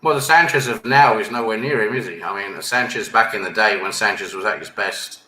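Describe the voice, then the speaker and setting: Bright-voiced man in an echoey room. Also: on the low side, a little hiss or hum. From England. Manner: ends points with tag questions. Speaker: panel guest. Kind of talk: casual chat. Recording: echoey room with faint ambience.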